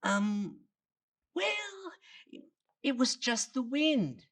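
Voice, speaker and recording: A woman's voice: high voice, sing-song, very slow, a bit bright, recorded from a distance, soft background music, voice pushed up, full character voice. A woman voicing a mischievous, easily spooked young shepherd boy in a bedtime storybook, hesitant and theatrical. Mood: sheepish, nonchalant.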